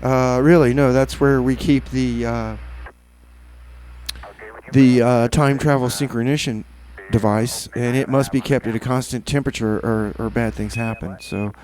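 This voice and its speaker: A man's voice: medium pitch, steady tone, normal speed, a little mellow, poor audio. A man hosting a community radio music show, chatting casually and hesitantly between songs, with many fillers and restarts.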